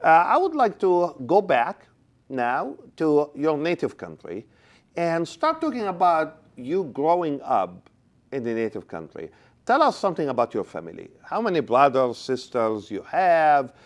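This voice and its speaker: Lively male host asking many questions. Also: medium pitch. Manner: asks many follow-up questions, lively and encouraging. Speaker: talk show host. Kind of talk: community TV interview.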